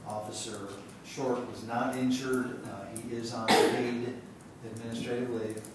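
A man with an Ohio accent giving matter-of-fact updates at a city council meeting, speaking haltingly with many fillers. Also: mid-range voice, little pitch movement. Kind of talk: public meeting remarks. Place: large council chamber. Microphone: desk microphone heard from a distance. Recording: very echoey chamber, distant mic, background hiss.

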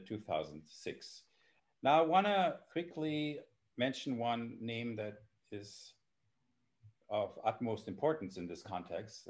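An older man moderates an informal academic chat by video call. His voice sits a little on the low side. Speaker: professor and moderator.

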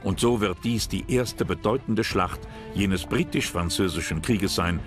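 A man with a low voice narrates a history documentary. He reads from a script, and music plays clearly behind him. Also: measured pace.

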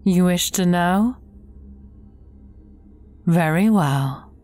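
Evil sorceress: low, mellow, theatrical menace with whispered turns, fairy-tale audio drama. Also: female voice, faint music behind. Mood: amused, ominous. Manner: slow, theatrical, menacing, often dropping to breathy whispers.

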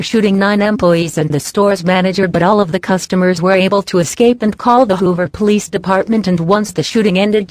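A woman voicing a female TV field reporter giving a live report in a brisk reporter manner. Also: medium pitch, no room sound, lightly acted. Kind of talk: mock TV news broadcast.

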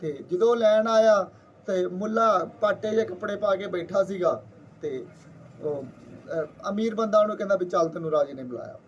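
A man with a Punjabi accent and a high voice tells a folktale in a loose, colloquial style. He repeats himself as he goes, as if chatting. Some ambient noise sits behind him. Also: normal speed, far from the mic, lo-fi sound. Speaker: storyteller. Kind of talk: informal oral folktale storytelling.

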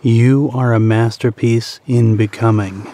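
A man narrating a guided meditation in a soothing, deliberate manner, pausing between short phrases. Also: medium pitch, fairly even intonation, warm tone.

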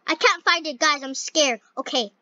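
A young female gamer with a scratchy voice, commenting on a game and reading its dialogue aloud in an excitable, halting way. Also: high voice, no room sound. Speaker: gaming video host. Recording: dull, lo-fi close microphone.

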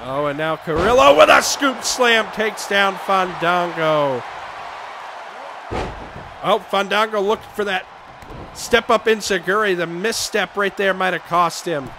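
A man losing his voice, shouting excited play-by-play for a wrestling video game. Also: high voice, a little slow. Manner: shouting excitedly over the action. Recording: game crowd noise behind voice. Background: video game arena crowd and sound effects.

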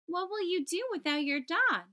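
A woman's voice: very high voice, expressive, moderate pace, sharp tone, voice pushed up, full character voice. A woman voicing eager, helpful little letter schoolchildren in a children's storybook, childlike and exclaiming. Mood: concerned. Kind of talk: children's storybook read-aloud.

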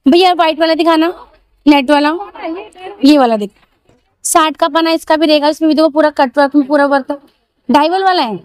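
A woman's voice: high-pitched, a little fast, long pauses, no echo, poor audio. A woman vlogging from an outdoor street market on a handheld phone, chattily repeating each price for her viewers. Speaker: shopping vlogger. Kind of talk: market walkthrough vlog.

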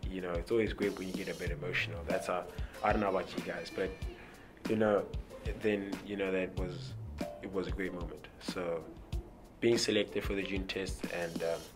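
Young south african man, very low voice, relaxed, repeats himself, music bed under voice. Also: normal speed. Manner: relaxed, repeats words, many "you know" fillers. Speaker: rugby player. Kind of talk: interview answers. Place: indoor press backdrop.

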